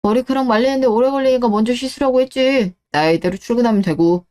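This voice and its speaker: A woman's voice: fairly high pitch, quick pace, warm tone, clean tone, close to an everyday voice. A woman voicing an easygoing, self-reliant husband and son in a scripted radio drama, casual and mildly defensive.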